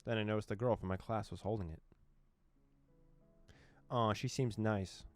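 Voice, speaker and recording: A man's voice: fairly low pitch, fairly even intonation, moderate pace, faint music behind. A young man reading a visual novel aloud and translating its lines, with playful jokes and frequent laughter. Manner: playful, joking, laughs often. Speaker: gaming YouTuber.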